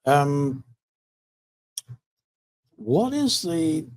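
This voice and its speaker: A man hosting an interview, asking halting questions that often restart mid-sentence. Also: a little high, sing-song, a little mellow, low-quality recording. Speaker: podcast host.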